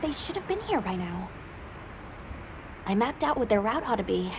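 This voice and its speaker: A woman's voice: on the high side, big pitch swings, normal speed, background noise. A woman playing a spirited, urgent young female companion in a video game cutscene. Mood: eager. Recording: music behind the voice.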